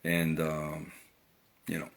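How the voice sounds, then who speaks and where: A man's voice: very deep voice, monotone, slow. A middle-aged man from Cuba talking through his opinions in a casual monologue, earnest and often repeating words as he restarts phrases. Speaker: vlogger.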